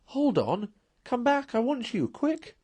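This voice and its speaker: A man doing a high, pleading little mole. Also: big pitch swings, slow, dark tone, slightly rough, full character voice. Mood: excited. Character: small anxious male mole, homesick.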